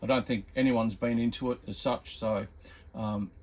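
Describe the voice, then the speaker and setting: A man's voice: mid-range voice, steady tone, normal speed. A man giving a casual, hobbyist demonstration commentary, presenting in an informal way. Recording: loud radio static and noise. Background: CB radio static and chatter.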